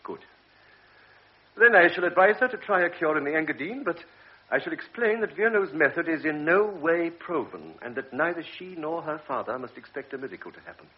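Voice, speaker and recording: A man's voice: a little high, expressive, a little fast, lightly acted. Guy doing a serious old doctor, stern and preachy, radio play, dull sound. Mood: grave. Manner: grave, lecturing. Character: grave older male doctor, stern and measured. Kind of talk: radio drama acting. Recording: dull old radio recording with faint hiss.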